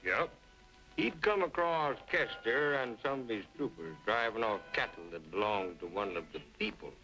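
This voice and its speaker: An actor playing a folksy, plainspoken storyteller recounting a legend in an old-time radio western. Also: male voice, on the low side, normal speed, pushed and bright, poor audio. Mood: solemn storytelling. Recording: old radio drama, faint music.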